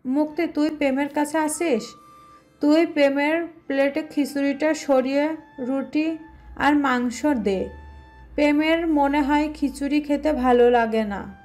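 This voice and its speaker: A woman's voice: high voice, fairly even intonation, unhurried, darkish tone, soft background music. A woman playing an attentive aunt who manages the family, in an audio story drama. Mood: coaxing, instructing.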